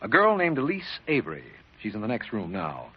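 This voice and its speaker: Businesslike radio district attorney; fast, emphatic, animated, on a lo-fi vintage recording. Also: male voice, on the low side, slightly rough, full character voice. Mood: brisk. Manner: clipped, official, emphatic. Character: brisk, businesslike, no-nonsense male district attorney. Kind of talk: old-time radio detective drama acting.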